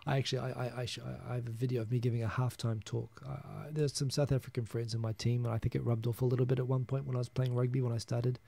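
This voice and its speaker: Gravelly New Zealand man chatting casually. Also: medium pitch, flat delivery, fast, warm tone. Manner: rambling, chuckling, frequent restarts. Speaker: live-stream host. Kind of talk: casual solo live-stream chat reading viewer comments.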